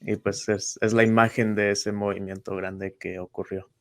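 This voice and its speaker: A man co-hosting a casual online talk show, relaxed and joking as he translates. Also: fairly low pitch, moderate pace. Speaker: co-host and translator.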